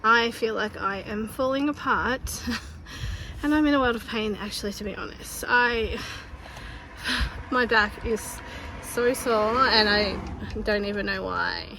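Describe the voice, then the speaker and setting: A woman, chatting casually to her phone camera in a family vlog, her voice a little croaky. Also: a little high, slow, sharp tone, poor audio. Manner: chatty, laughs between sentences. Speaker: family vlogger. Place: outdoors by a busy road. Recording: clear traffic noise outdoors.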